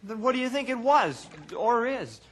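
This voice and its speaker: A man's voice: very high-pitched, big pitch swings, normal speed, heavily acted. An actor playing an eager, curious young male sidekick in an old-time radio adventure, earnest and inquisitive. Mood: uneasy, curious.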